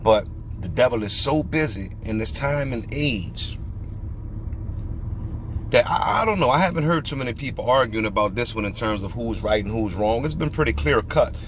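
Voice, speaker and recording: Man, podcast host, emphatic preaching tone, rhetorical questions, mid-pitched voice, faint noise behind. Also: expressive, moderate pace.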